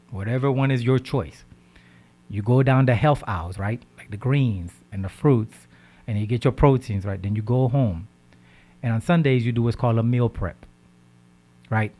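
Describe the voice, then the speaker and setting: Animated male radio host, "right?" after every point. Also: mid-range voice. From Haitian background. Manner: animated, repeats words, asks "right?" often. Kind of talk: casual health talk on radio.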